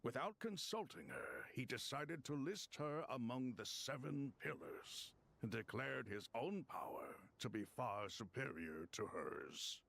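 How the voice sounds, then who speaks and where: An actor playing an ancient, courteous crocodile king spirit in a video game, speaking formally and deliberately. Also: medium pitch, big pitch swings, vocal fry, a bit bright, full character voice. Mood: solemn storytelling. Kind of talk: video game voice acting, storytelling dialogue.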